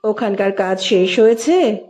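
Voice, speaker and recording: A woman voicing a gentle, troubled married man, an office boss who talks softly and hesitantly, in an audio story. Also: medium pitch, animated, measured pace, faint music behind, close to an everyday voice. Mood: subdued, concerned. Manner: soft-spoken, hesitant.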